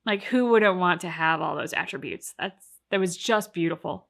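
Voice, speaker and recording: A woman's voice: lowish voice, moderate pace, gravelly, fairly bright tone. A woman talking casually and reflectively as a co-host, earnest and talkative, peppering her speech with "like" and "right". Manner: swears casually. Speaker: co-host of a reaction channel.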